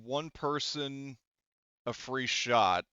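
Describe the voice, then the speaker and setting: A man's voice: mid-range voice, drawn-out words, pushed and bright, clean tone, no room sound, muffled recording. A man casually narrating and refereeing a tabletop roleplaying game as game master, with some swearing.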